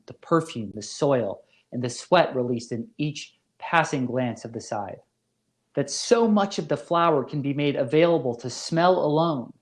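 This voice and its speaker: A male professor narrates a scripted academic lecture in a mid-pitched voice. His phrasing is deliberate and literary, each clause carefully set out.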